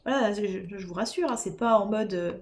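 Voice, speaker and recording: A woman's voice: medium pitch, brisk, a little mellow, slight background noise. A woman with a cold and a sore throat, tired and losing her voice, chatting casually and rambling with viewers from home as she works on a craft. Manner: rambling, chatty, many fillers and restarts. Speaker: streamer doing diamond painting. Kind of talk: casual live-stream chat with viewers.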